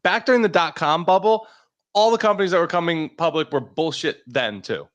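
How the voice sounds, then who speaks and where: A man from the analyst team with a somewhat high voice. He breaks in bluntly and with a sardonic edge. He pushes back hard on what the others say. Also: fairly quick. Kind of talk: casual banter.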